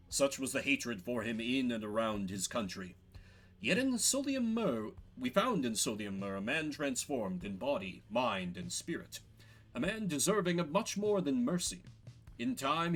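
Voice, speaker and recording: Man reading as a solemn, animated scribe. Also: medium pitch. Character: solemn formal scribe reciting an exiled emperor's tale. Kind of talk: storybook-like game text read in character.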